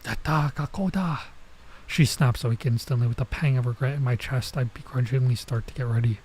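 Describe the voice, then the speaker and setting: A man with a soft-edged, relaxed voice of medium pitch reads a visual novel aloud as a streamer. He slips between reading the text and commenting on it casually. Some ambient noise sits behind his voice. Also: a little fast. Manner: stops to remark on and reread the text. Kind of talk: let's play read-aloud with casual side comments.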